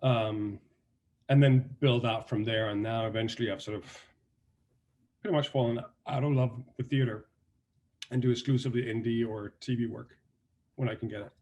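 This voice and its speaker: A man's voice: mid-range voice, moderate pace, pauses often. Danish man chatting about acting. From Denmark. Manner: relaxed storytelling with many restarts and fillers. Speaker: actor and guest. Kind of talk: casual interview talk.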